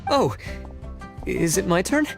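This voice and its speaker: Male voice, awkward bureaucrat, halting, hesitant, fussily exact, game dialogue, clear music behind. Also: high-pitched, very animated, full character voice. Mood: nervous, halting. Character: awkward, nervous, literal-minded male civil servant. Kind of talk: scripted video game voice acting. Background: background music.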